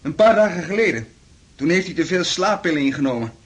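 An actor playing a calm, polite police inspector in a Dutch radio play, formal and matter-of-fact. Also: male voice, a little high, fast talker, close to an everyday voice.

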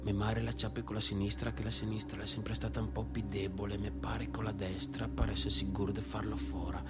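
An actor playing a disturbed provincial young man in a radio theatre monologue, with a northeastern Italian accent and a halting, repetitive, colloquial way of talking. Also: lowish voice, level tone, close to an everyday voice. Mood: hushed, brooding. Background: music.

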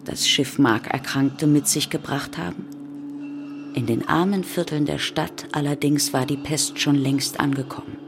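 An actress playing a grave, sober chronicler of a plague in a scripted radio drama. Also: female voice, low voice, fairly even intonation, a little slow, over music. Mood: sober, matter-of-fact. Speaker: radio play narrator.

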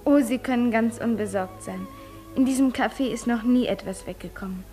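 An actress playing a polite, reassuring café attendant in a German radio play, deferential in manner. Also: female voice, fairly high pitch, brisk, close to an everyday voice. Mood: courteous, reassuring. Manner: deferential, courteous. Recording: loud music behind the voice.

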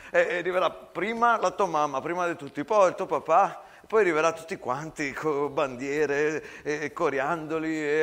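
A man's voice: sits high, a bit bright. A man playing a desperate young soldier in a stage war monologue, urgently pleading and stammering in a Venetian accent. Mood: anxious encouragement.

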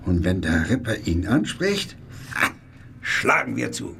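A man acting an excitable, sardonic junior police officer in a German radio play. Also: low-pitched, a little slow, creaky, slight background noise, full character voice. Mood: eager, scheming. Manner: animated, indignant, sarcastic. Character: excitable, reluctant male police subordinate, sardonic.

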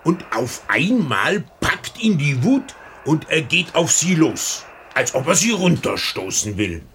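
An actor playing a chatty old lighthouse keeper in a radio crime drama, with a folksy, halting way of talking. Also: male voice, fairly high pitch, big pitch swings, a bit bright, full character voice. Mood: confiding. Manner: folksy, hesitant, repeating words. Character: old lighthouse keeper and seaman, bluff, chatty, whisky-drinking. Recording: old radio play with faint background noise.

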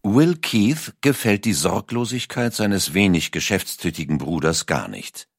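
A man reading scripted documentary narration in a measured, carefully articulated storytelling manner. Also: low voice, very animated, gravelly, dry recording, clean studio sound.

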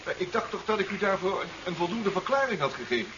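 Confident professor, animated, muffled noisy recording. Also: male voice, sits high, quick pace. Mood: polite, cagey. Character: confident, reassuring male professor and submarine inventor. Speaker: actor in a radio drama. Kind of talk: 1970s radio drama acting. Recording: muffled, noisy old 1970s radio recording.

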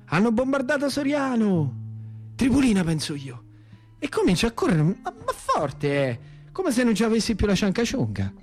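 High-voiced, excitable Lazio country lad. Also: male voice, normal speed, faint music behind. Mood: frantic. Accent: central Italian, Lazio. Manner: colloquial, breathless, repetitive, wide-eyed. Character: naive, lame Italian country youth recalling wartime. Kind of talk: theatrical radio monologue storytelling.

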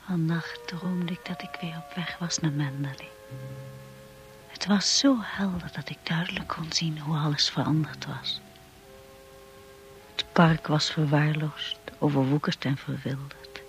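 Breathy, wistful young woman. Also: low-pitched, steady tone, a little slow. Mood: dreamy, haunted. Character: wistful, shy young woman narrating her memories. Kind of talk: radio drama narration.

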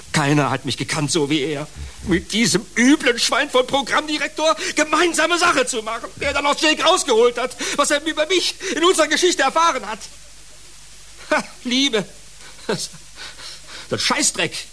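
An actor playing a troubled, volatile fallen television celebrity in a radio drama, brooding, halting and theatrical. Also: male voice, very high-pitched, lively intonation, bright, forceful tone, full character voice. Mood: bitter, impassioned. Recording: hissy radio drama recording.

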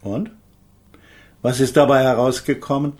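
Male actor as controlled middle-aged attorney and father, radio crime play. Mid-pitched, formal, earnest delivery. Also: steady tone, a little slow, close to an everyday voice. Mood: probing. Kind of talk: German radio crime drama acting.

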